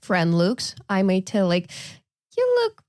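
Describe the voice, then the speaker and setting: A woman chatting casually on a podcast, her pitch jumping lively when she quotes people. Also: measured pace, no room sound, clean studio sound. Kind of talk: casual discussion.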